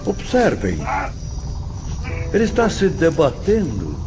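A man playing a stern, solemn spirit instructor who passes judgment gravely and deliberately in a radio drama. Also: fairly high pitch, huge pitch swings, full character voice. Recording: muffled radio drama with music underneath.

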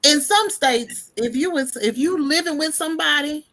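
A woman's voice: high voice, normal speed, a loud crowd. A woman hosting a podcast, chatty and laughing often in casual conversation. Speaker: podcast host. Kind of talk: casual chat.

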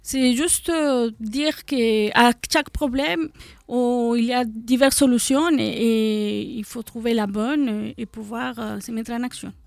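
Mexican woman with a gravelly voice. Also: fairly high pitch, steady tone, measured pace, a little mellow, no echo. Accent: Mexican Spanish. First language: Spanish. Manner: hesitant, many fillers and restarts. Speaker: guest, association co-founder. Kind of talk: live radio interview. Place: radio studio.

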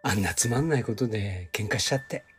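An actor playing a gentle, apologetic young husband who has died, in an emotional audio drama. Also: male voice, fairly low pitch, fast talker, music in the background, close to an everyday voice. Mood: confused, apologetic.

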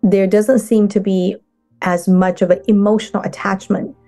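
A woman speaking as a guest coach in a casual interview, talking in halting bursts with many restarts. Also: mid-range voice, flat delivery, dark tone, faint music behind.

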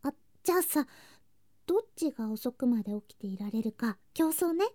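A woman playing an affectionate, clingy younger girl cousin in an intimate bedtime ASMR drama, speaking softly and breathily, often in whispers, drowsy and coaxing. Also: sits high, expressive, brisk, dry recording, studio quality. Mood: playfully flustered. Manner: soft, drowsy, coaxing, sweetly childlike.

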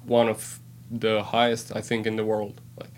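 A man's voice: fairly low pitch, completely flat delivery, moderate pace. A man from Slovenia, a band member explaining things in a matter-of-fact way as a podcast guest. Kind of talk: casual interview chat.

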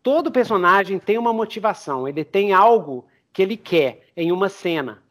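Higher-pitched Brazilian man hosting a podcast. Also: expressive, a bit bright. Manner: halting, repeats words while explaining. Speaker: podcast host. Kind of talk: casual interview chat.

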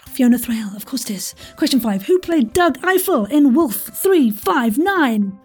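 A woman hosting a podcast quiz in a playful, chatty way, with self-deprecating asides and a husky voice. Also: sits high, sing-song, measured pace, faint music behind.

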